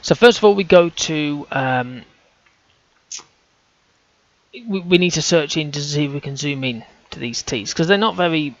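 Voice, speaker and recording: A man's voice: a little high, expressive, normal speed, dry recording, highs cut off. A man talking through on-screen images, casual and hesitant, often restarting his sentences as he thinks aloud. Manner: hesitant, self-correcting, casual. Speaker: amateur researcher.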